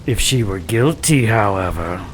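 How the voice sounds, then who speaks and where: A man playing a sadistic religious zealot in an audio drama, taunting and menacing with deliberate, preachy phrasing. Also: lowish voice, expressive, noisy background. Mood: mocking menace. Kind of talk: audio drama villain acting.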